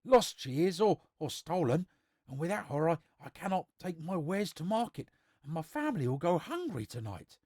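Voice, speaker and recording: Old peasant man acted by a male narrator: distressed, deferential, halting country phrasing, raised pitch, storybook style. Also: sing-song, a little mellow, husky, no room sound, studio quality. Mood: worried, pleading.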